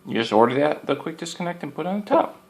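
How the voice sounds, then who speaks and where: A man's voice: fairly high pitch, lively intonation, fast talker. A man giving a casual, unscripted product review in an informal, folksy, rambling way, often repeating his words.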